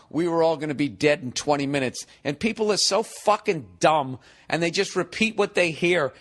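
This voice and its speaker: High-voiced man in his forties, profane ranting. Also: fairly bright tone. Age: 40s. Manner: mocking, exasperated. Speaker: podcast host. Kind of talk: comedic podcast rant.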